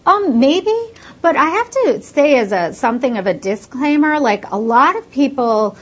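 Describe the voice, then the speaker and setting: A woman's voice: high voice, expressive, normal speed, a bit bright, slightly rough. A woman, born in India and raised in the United States, explaining her research fluently in a casual interview with many "like" fillers.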